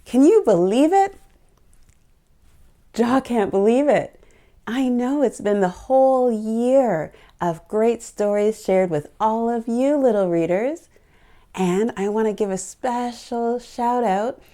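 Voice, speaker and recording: A woman's voice: a little high, expressive, slow pace, warm tone. A woman hosting a picture book storytime for young children, speaking in an encouraging, exclamatory way and putting questions to her little listeners.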